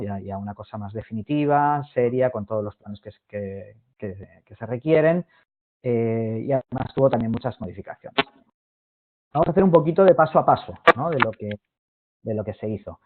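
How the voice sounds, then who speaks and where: A man giving a hesitant online presentation, full of fillers and restarts. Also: mid-range voice. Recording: tinny phone-like line.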